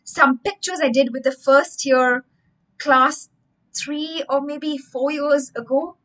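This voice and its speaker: A woman's voice: high-pitched, steady tone, takes it slowly, a bit bright, pitched above the performer's own voice, poor audio. A woman with an Indian English accent voicing a fussy older male craft teacher who stumbles and restarts as he talks. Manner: rambling, stuttering restarts.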